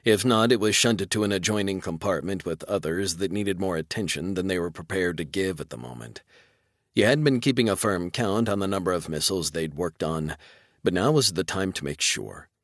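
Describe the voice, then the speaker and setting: Low-pitched man narrating military sci-fi. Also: moderate pace, dry recording, clean studio sound. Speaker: audiobook narrator.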